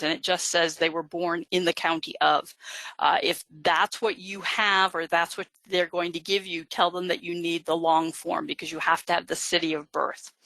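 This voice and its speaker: Female webinar presenter, lower-pitched and bright, dry studio sound, patient instructive delivery. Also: dry recording, rough recording. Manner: explanatory, many fillers. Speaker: genealogist presenter. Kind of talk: live webinar lecture.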